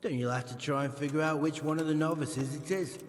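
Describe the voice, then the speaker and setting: A man's voice: on the high side, steady tone, a little fast, a little hiss or hum, close to an everyday voice. A man playing a wary, menacing criminal recruiter in a video game, curt, sardonic and coarse in manner. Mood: dry, instructing. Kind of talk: dramatic video game cutscene acting. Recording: reverberant hall echo.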